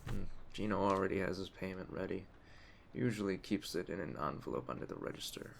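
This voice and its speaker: Aging mafia don, played by a man: very deep, creaky, measured authority, streetwise ASMR roleplay delivery. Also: lively intonation, exaggerated voice. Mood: businesslike, calm. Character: calm, commanding aging mafia boss giving orders. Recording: clear ambient storm noise behind. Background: storm ambience.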